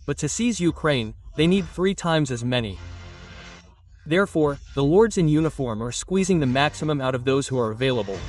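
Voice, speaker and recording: A man's voice: a little high, lively intonation, moderate pace, recorded from a distance, over music. A man reading scripted political commentary in a sardonic, mocking manner. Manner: sarcastic, mocking. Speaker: narrator of a satirical war commentary video.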